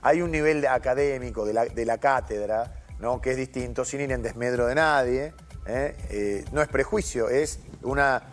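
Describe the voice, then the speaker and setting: A man's voice: medium pitch, normal speed, faint music behind. An Argentine man speaking as a news host in an informal studio discussion, with a colloquial, slangy manner.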